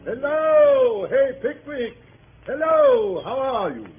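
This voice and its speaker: Hearty, high-voiced country squire. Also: male voice, huge pitch swings, slow pace, faint music behind, full character voice. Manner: bluff, commanding, folksy. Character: hearty, jovial country squire, brisk host. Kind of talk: 1930s radio comedy acting. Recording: tinny 1930s radio recording.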